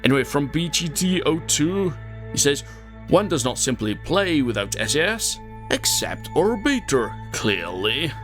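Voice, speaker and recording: A man's voice: fairly high pitch, very animated, measured pace, fairly bright tone, soft background music. A Welsh man hosting a game video, chatting casually and poking fun at himself as he plays. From Wales. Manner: chatty and self-mocking, rambling asides, frequent laughs. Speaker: gaming channel host. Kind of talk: casual gameplay commentary.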